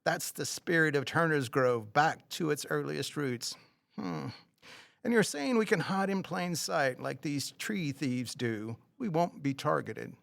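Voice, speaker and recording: An actor playing a reluctant, fussy, rule-minded tour company boss in an audio drama, with a skeptical, formal manner. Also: male voice, a little high, animated, measured pace, gravelly, fairly bright tone, dry recording, studio quality, full character voice. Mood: grudgingly intrigued.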